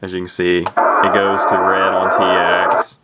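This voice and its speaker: A man's voice: sits low, monotone, a little slow, over loud music. A plain-spoken, folksy man giving a rambling, casual, unscripted demonstration of equipment as a hobbyist. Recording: very noisy, radio static. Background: CB radio receiver noise.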